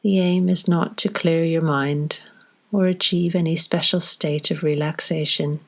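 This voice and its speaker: A woman leading a guided body scan meditation, giving slow, gentle instructions with long pauses between phrases. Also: low-pitched, steady tone, vocal fry.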